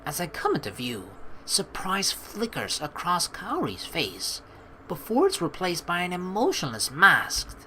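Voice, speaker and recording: A woman's voice: lowish voice, wildly swooping pitch, unhurried, sharp tone, a little hiss or hum. A woman doing casual, playful gaming commentary while reading a story game's narration aloud. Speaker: let's play streamer. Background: game music.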